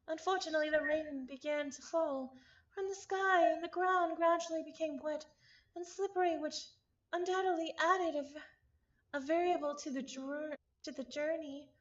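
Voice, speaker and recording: A woman streamer reading a visual novel aloud, mixing expressive narration with casual asides. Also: high-pitched, muffled recording.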